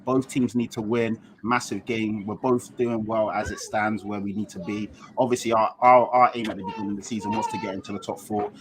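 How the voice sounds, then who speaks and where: A man's voice: lowish voice, steady tone, brisk, few pauses. A man with a London accent, a guest football fan chatting casually and making his points in emphatic slang. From London, England. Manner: slangy, explanatory, emphatic repetition. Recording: noisy online call.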